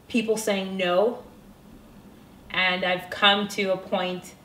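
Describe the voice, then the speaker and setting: A woman's voice: on the low side, roomy sound, a little hiss or hum. A woman who coaches women in empowerment, speaking earnestly and reflectively in a documentary interview and building emphasis through repetition.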